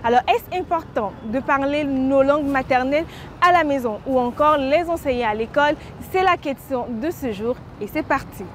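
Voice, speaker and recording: A woman hosting a vox-pop street interview and asking brisk, chatty questions. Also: sits high. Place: outdoors on a busy street. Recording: street traffic noise behind.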